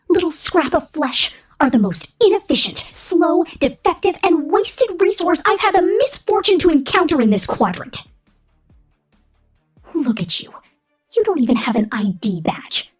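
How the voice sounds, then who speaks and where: A menacing female killer robot, acted by a woman delivers an ASMR roleplay monologue in a highish, husky half-whisper. She is domineering and sadistic, treating the listener as corporate property with open contempt. Faint music plays beneath the voice. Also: a little mellow, full character voice.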